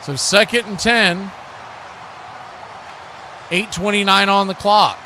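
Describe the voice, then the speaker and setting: High-pitched, bright-voiced American male football announcer. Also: slow, slight background noise. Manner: excitable, clipped calls. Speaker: play-by-play announcer. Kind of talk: live American football commentary.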